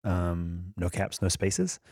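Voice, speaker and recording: A man's voice: takes it slowly, gravelly, darkish tone, no echo, clean studio sound. A man co-hosting a podcast, reading out announcements in a playful, jokey way. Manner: playful, jokey, swoops into goofy high voices. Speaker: podcast co-host. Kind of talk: casual chat and show announcements.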